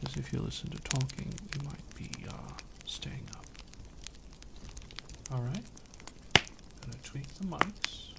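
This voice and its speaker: An ASMR streamer with a mid-pitched, creaky voice talks slowly and casually, close to the microphones. The delivery rambles and often slips toward a whisper. Loud crinkles and clicks run through the recording. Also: heavy background noise. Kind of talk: casual ASMR livestream chat.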